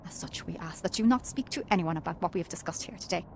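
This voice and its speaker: An actress playing a dignified, authoritative headmistress in a video game, formal and composed. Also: female voice, on the low side, fast, gravelly, slight background noise. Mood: grave. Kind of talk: scripted video game acting.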